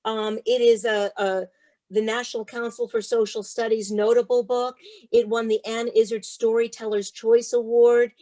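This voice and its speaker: Lady author, voice on the high side, emphatic and rhythmic storybook-sharing style. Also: flat delivery, moderate pace, dark tone. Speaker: author guest. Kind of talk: interview talk and expressive storybook read-aloud.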